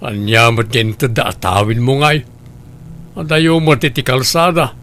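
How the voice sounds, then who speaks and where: A man's voice: mid-range voice, steady tone, measured pace, a bit bright. A man voicing a scheming husband who coaxes his wife in an Ilocano audio drama. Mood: coaxing, scheming. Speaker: voice actor.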